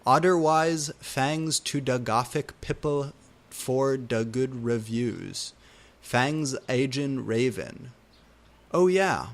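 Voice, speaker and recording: Slow, theatrical man reading aloud. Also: mid-range voice. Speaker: reader. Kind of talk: dramatic fan fiction read-aloud.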